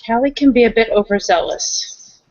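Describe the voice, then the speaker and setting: A woman's voice: a little high, animated, moderate pace, a little mellow, no echo. A woman chatting casually as a player in a tabletop roleplaying game. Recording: dull lo-fi audio.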